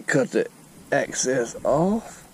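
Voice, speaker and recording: A man's voice: mid-range voice, very animated, takes it slowly. A young man chatting casually and informally to viewers as he vlogs about fishing outdoors. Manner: informal, addresses viewers as guys and y'all. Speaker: fishing vlogger. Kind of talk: casual vlog chat to viewers. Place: outdoors beside a pond in open grassland. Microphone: action camera, handheld or body-mounted. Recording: faint outdoor ambient noise, action camera.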